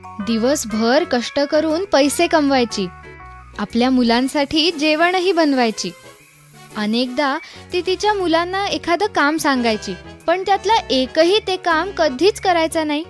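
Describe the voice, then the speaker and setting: A woman's voice: sits high, expressive, measured pace. A woman narrating a scripted children's story as a storyteller. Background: background music.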